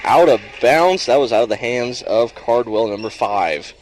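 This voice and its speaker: A man calling live basketball play-by-play in halting, piecemeal phrases. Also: mid-range voice, animated. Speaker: play-by-play announcer. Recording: noisy live sports venue broadcast. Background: loud gym ambience.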